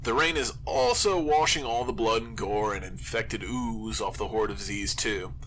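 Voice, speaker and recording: An actor playing an anxious, overthinking zombie apocalypse survivor who broadcasts alone, rambling and self-deprecating in a halting confessional radio monologue. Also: male voice, on the low side, lively intonation, crowd noise, poor audio. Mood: weary, resigned.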